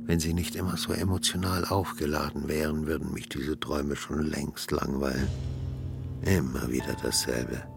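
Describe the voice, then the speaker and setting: A man's voice: extremely low pitch, fairly even intonation, normal speed, creaky. An actor playing a wordy, ironic man brooding over his own thoughts in a radio play monologue. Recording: loud music under the voice. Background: music.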